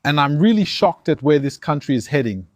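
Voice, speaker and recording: A man's voice: fairly high pitch, a little fast, no room sound. A man from Algeria giving an emphatic opinion monologue to his viewers, acting out dialogues. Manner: animated, emphatic, acts out dialogues. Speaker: commentator.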